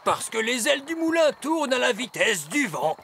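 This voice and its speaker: An actor playing a careful, worrying elf miller who is proud of his craft, explaining things deliberately in a children's cartoon dub. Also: medium pitch, big pitch swings, vocal fry, fairly bright tone, slight background noise. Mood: hesitant, cautious. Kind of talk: children's animated series dubbing.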